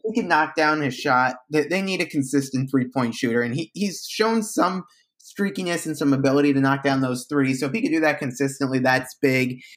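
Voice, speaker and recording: Panelist, man, late 20s, mid-pitched, steady analytical delivery. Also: a little fast. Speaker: podcast panelist. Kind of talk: casual panel chat.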